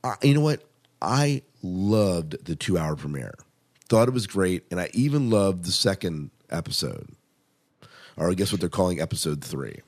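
Guy with a low voice hosting a tv review podcast, just chatting casually. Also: sing-song, normal speed, darkish tone. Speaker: podcast host. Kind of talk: casual review chat about TV shows.

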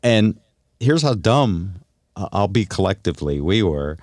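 A man with a very low voice talks like a seasoned radio host in loose conversation. His intonation swings freely as he tells stories and prods with teasing questions. The sound is dry and close. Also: sing-song, unhurried, darkish tone, dry recording. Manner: teasing, probing. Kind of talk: casual chat and storytelling.

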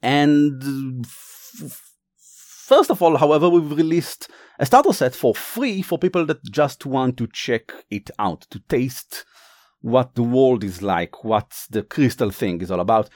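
A man with an Israeli accent explaining and narrating as game master in a halting, casual, enthusiastic way. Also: medium pitch, lively intonation, darkish tone, dry recording. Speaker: game master, game designer and writer.

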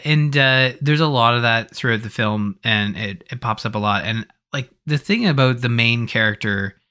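Bright-voiced man, casual film podcast host. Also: on the low side.

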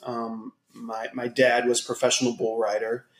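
A man from Texas, a podcast guest, telling his life story in a relaxed, casual way with many pauses and ums. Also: mid-range voice, echoey room, low-quality recording. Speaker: podcast guest, gym owner. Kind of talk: casual interview talk.

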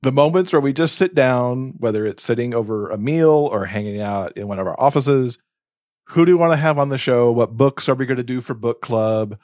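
A man's voice: medium pitch, fairly quick, darkish tone, no room sound, studio quality. A man chatting casually as a podcast co-host, speaking in a reflective, earnest way.

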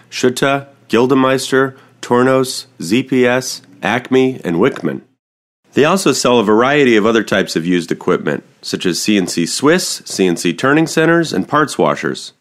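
A man hosting a podcast, reading the intro and a sponsor ad clearly and steadily, then asking his guest questions. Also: fairly low pitch. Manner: clear, steady read; spells out web addresses. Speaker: podcast host. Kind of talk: podcast intro, ad read and interview.

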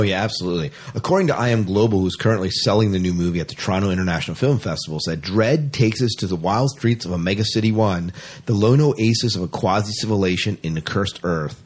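A man with a very low, rather husky voice co-hosts a podcast. He moves between reading news items and casual chat, offering his opinions with open enthusiasm.

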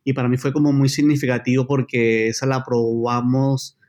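A man's voice: mid-range voice, level tone, moderate pace, darkish tone. A man from Colombia, a journalist guest chatting casually and hesitantly with frequent fillers and restarts. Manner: hesitant, many fillers and restarts, uneven pace. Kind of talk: casual chat.

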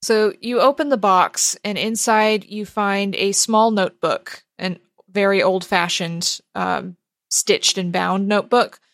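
A woman's voice: medium pitch, fairly even intonation, measured pace, fairly bright tone. The woman at the head of a gaming table, narrating the scene to her players, heard close with no room echo. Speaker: game master. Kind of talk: narrating a tabletop role-playing game.

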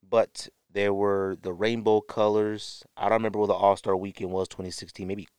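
A man's voice: on the low side, flat delivery, normal speed, darkish tone, smooth, no room sound, studio quality. A man hosting a sneaker podcast, talking casually and unscripted to the camera, rambling a little and correcting himself as he shows things. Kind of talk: casual show-and-tell talk to camera.

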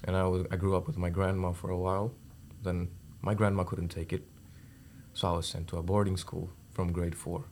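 Young man, low and even-toned. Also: fairly quick, slight background noise. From India and Zambia. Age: teens or young adult. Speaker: student athlete podcast guest. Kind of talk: casual interview chat.

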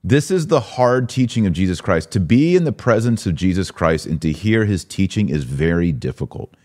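A man's voice: sits low, lively intonation, moderate pace, darkish tone. A man hosting a religious podcast, speaking in an emphatic, exhorting way with rhetorical questions. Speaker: podcast host. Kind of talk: religious discussion, preaching commentary.

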